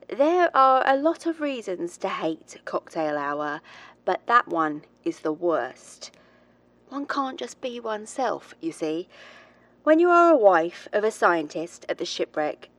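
An actress playing a frustrated, dry-witted woman physicist, confiding precisely and wryly in a recorded diary for an audio drama. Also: on the low side. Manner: wry, clipped, confiding, precise.

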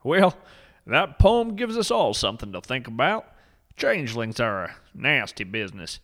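A man's voice: mid-range voice, big pitch swings, moderate pace, a bit bright. A man narrating a scripted story with dry, mock-serious humor.

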